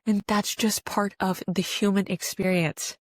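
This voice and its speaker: A woman's voice: medium pitch, level tone, unhurried, dry recording. A woman giving an informal spiritual self-help monologue as a podcast host, speaking earnestly and repeating phrases for emphasis.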